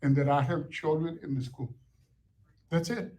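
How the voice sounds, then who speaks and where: A man's voice: fairly high pitch, fairly even intonation, moderate pace, mellow, a little hiss or hum. A man, a former school teacher and parent, arguing his point insistently and repeating himself for emphasis. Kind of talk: heated confrontation.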